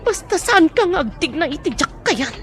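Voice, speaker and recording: A woman's voice: extremely high pitch, big pitch swings, normal speed, some chatter behind, full character voice. An actress playing a menacing woman who threatens and interrogates a captive in an Ilocano radio drama. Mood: threatening. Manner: threatening, shouting. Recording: radio drama with music underneath.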